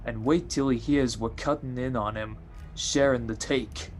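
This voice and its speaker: An actor playing a greedy, none-too-bright small-time tough guy in an old-time radio crime drama, talking in streetwise slang. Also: medium pitch, fairly even intonation, soft background music, close to an everyday voice. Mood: greedy, excited. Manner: drawn-out streetwise gangster slang.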